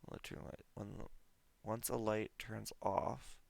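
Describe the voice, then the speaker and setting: A man playing a flight simulator at home, reading instructions back to himself in a halting, self-joking way. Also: fairly low pitch, steady tone, gravelly, no room sound. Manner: repeats instructions aloud slowly, self-joking. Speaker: gamer learning a flight sim. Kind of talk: casual gameplay commentary.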